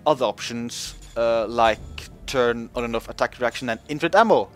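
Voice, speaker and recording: Male host, relaxed game-patch commentary, filler-heavy, playful pitch jumps, light music underneath. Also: moderate pace. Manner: chatty, many fillers, pitch jumps up when joking. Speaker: gaming video host.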